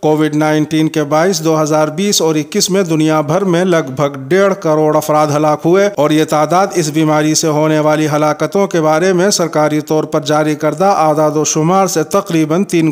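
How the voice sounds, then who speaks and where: A male news presenter with a fairly high, very clean and smooth voice. He reads scripted bulletins formally and keeps a measured delivery. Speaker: news presenter and host of the show.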